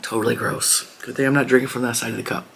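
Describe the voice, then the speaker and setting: Woman, hoarse, casual rambling vlog chat, friendly to viewers, faint background noise. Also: rumbling low, fairly even intonation, quick pace. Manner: chatty, rambling and warm toward viewers. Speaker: crochet channel host.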